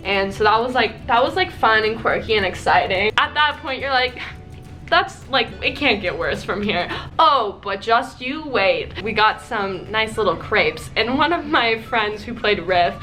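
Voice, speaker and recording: Young woman, high and bright, chatty best-friend storyteller with exasperated comic quotes. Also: animated, a little slow, soft background music, poor audio. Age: young adult. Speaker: host's friend and guest. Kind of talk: casual comedic storytelling.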